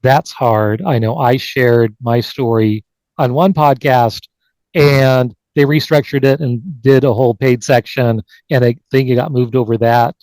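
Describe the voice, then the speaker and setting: A man's voice: mid-range voice, fairly even intonation, measured pace, darkish tone, dry recording, low-quality recording. A man hosting a live online panel in a conversational way, speaking over a video call. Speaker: podcast host.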